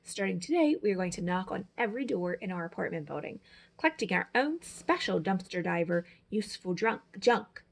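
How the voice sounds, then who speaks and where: Expressive, slightly husky woman reading a storybook. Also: fairly low pitch, brisk. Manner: dramatic, emphatic phrasing. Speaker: storyteller, teacher. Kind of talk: expressive children's picture book read-aloud.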